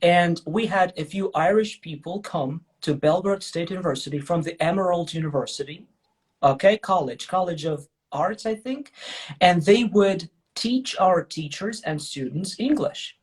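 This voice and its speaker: A man's voice: sits high, normal speed, breathy edge. A man hosting a casual livestream chat, enthusiastic and chatty, often checking in with the listener. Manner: enthusiastic, chatty, checks with "okay?" and "you know?". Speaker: host, English teacher.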